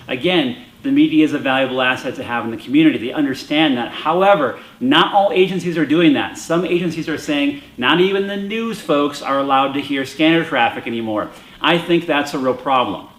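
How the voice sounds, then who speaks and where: Higher-voiced American man, echoey room. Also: fairly bright tone. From United States. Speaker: video presenter and commentator. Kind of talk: explanatory opinion commentary to camera. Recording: roomy echo.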